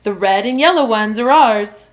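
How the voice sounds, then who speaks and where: A woman plays a fisherman dad from a children's storybook. He is calm and knows his trade, and teaches with firm emphasis and a lively, moving pitch. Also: normal speed, natural voice. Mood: encouraging.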